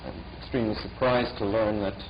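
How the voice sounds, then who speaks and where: A man giving a formal statement carefully, in short halting phrases. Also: lowish voice, fairly even intonation. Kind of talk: formal spoken statement in an archive recording. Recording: dull, lo-fi archive recording, faint noise.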